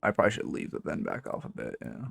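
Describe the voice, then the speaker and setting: A man talking casually on a live stream into his streaming microphone. He thinks aloud, swears now and then, and speaks in a low voice with high inflections. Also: very animated, quick pace, vocal fry, no room sound. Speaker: game coach and streamer.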